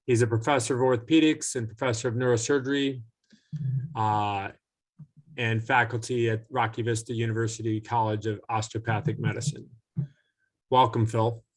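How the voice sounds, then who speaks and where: A man hosting an online event, laying out the rules and introducing a guest in a steady, businesslike way with occasional fillers. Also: medium pitch, moderate pace. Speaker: host, moderator.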